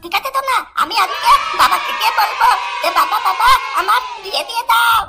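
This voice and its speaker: A performer, pitched up into a squeaky chipmunk-like voice, voicing a whiny, excitable, lovesick cartoon schoolboy in a crude comedy. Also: fairly quick. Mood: whining, indignant. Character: bratty lovesick cartoon schoolboy, pleading and foul-mouthed. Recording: loud music under the voice.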